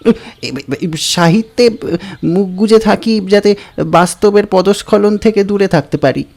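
A man's voice: high voice, unhurried, vocal fry, a little mellow, pitched above the performer's own voice. A man voicing a shy, bookish young man who is flustered and pleading, in a dramatized audio story over music.

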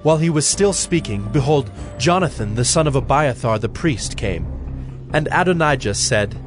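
Male narrator, mid-pitched, measured storytelling for scripture drama, prominent score underneath. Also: expressive. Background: prominent music.

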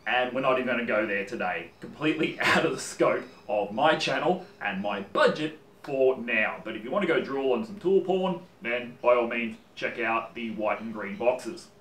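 A man's voice: mid-range voice, normal speed, hardly any pauses, distant mic. Chatty Australian man in a roomy workshop. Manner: chatty and animated, gestures while talking. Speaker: woodworking channel host. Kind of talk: casual talk to camera. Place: home workshop, indoors. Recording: roomy workshop sound from off-mic.

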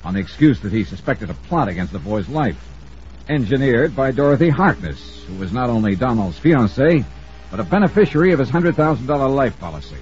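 An American actor playing a brisk, wry freelance insurance investigator in a clipped, businesslike 1950s radio style. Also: male voice, medium pitch, very animated, darkish tone, slight background noise, natural voice. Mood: brisk, punchy. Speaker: star of a radio detective serial. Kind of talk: 1950s radio acting, first-person narration. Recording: dull old radio recording.

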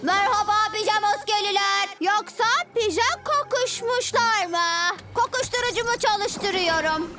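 A woman playing boastful, excitable children's characters in a cartoon dub, with a theatrical, exclamatory delivery. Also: extremely high pitch, a little slow, hardly any pauses. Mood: gloating. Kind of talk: animated cartoon dub acting. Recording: loud music under the voice. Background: loud cartoon music.

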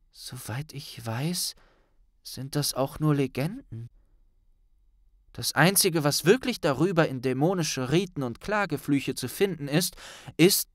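A man's voice: fairly high pitch, no room sound, clean studio sound, voice pushed up. A man voicing an earnest, anxious teenage witch girl who speaks in a careful, questioning and precise way for an audiobook.

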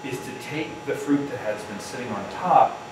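A man in his fifties talking as a winemaker, explaining things in a thoughtful, measured, conversational way. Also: medium pitch, lively intonation, background noise. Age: 50s. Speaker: winemaker guest. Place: large indoor winery barrel room and tasting bar. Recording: very echoey room, distant mic, music underneath. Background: clear background music.

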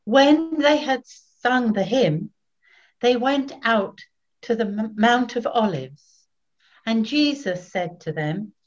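An older woman narrating scripture from a script in a measured way, pausing between phrases, recorded at home on a webcam. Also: fairly high pitch, expressive, highs cut off. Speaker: narrator, Evangelist reader. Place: indoors in a home room.

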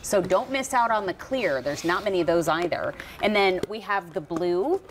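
Casting: middle-aged woman, TV shopping host, animated pitch, upbeat pitch, faint studio hiss. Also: normal speed. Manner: upbeat, enthusiastic sales patter. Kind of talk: live home shopping presentation. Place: TV studio kitchen set.

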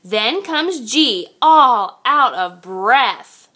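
A woman reading a picture book aloud to young children like a teacher, expressive and sing-song. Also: on the high side, very slow.

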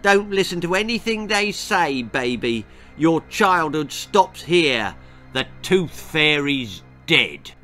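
Guy putting on a snotty mean big sister, mocking, slow drawl, music faint. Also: fairly high pitch, sing-song, voice pushed up. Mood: nasty. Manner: snide and mocking. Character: nasty sneering older sister.

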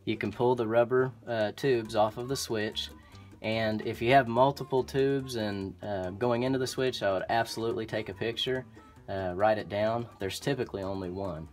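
Low-voiced Oklahoma furnace technician. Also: male voice, moderate pace, faint music behind. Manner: relaxed and practical, many "um"s and restarts. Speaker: HVAC technician. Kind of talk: instructional how-to explanation. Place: indoor utility closet by a furnace.

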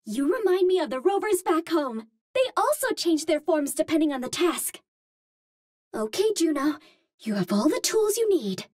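A woman's voice: sits high, big pitch swings, normal speed, darkish tone, no room sound, studio quality. An actress voicing a cute, earnest young Martian space heroine in a video game, with a light girlish voice and precise, formal wording. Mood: curious, earnest. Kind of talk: video game hero voice lines.

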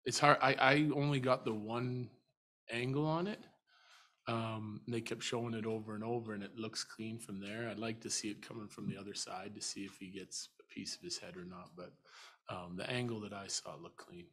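A middle-aged man answering as a head coach at a postgame press conference, measured and thinking aloud with frequent restarts. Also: lowish voice, fairly even intonation, a bit bright. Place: arena press room. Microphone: table mic.

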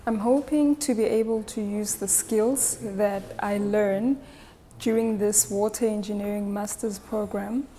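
Female student from South Africa, medium pitch, steady delivery, clear background music. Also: unhurried. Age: young adult. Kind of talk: interview answer. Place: laboratory.

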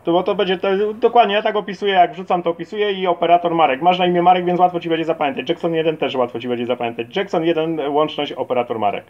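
A man's voice: a little high, steady tone, racing, few pauses. A man chatting casually as an amateur CB radio operator during a radio contact. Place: at a CB radio set. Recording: dull lo-fi audio, faint radio noise.

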